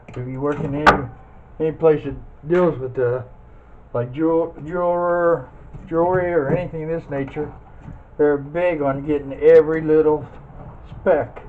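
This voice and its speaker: Phone-like, noisy recording of folksy man. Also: a little high. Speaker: scrap metal hobbyist demonstrating teardown. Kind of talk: casual hands-on tutorial narration. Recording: muffled phone-like audio, loud background noise.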